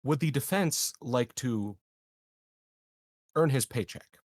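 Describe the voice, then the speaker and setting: A man's voice: mid-range voice, animated, slow pace, darkish tone, no room sound, clean studio sound. A man playing a tabletop game, speaking in character as a judge putting questions. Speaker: tabletop player acting as a judge.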